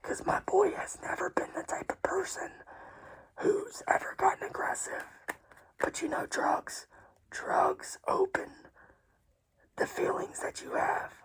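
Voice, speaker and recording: A woman whispering a personal story in a hushed, tearful, casual way, swearing freely. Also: very high-pitched, fairly even intonation, takes it slowly, vocal fry, low-quality recording.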